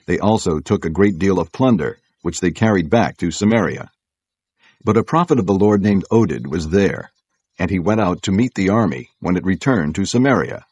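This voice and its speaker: A man narrating scripture from a script in a solemn, measured storytelling manner. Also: sits low, expressive, darkish tone, breathy edge, dry recording.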